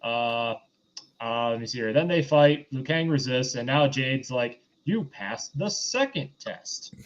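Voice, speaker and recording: A man hosting a review podcast, giving a casual, unscripted recap in a rambling, halting, conversational way. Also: medium pitch, expressive. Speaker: podcast host reviewing a film. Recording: dull, lo-fi voice recording.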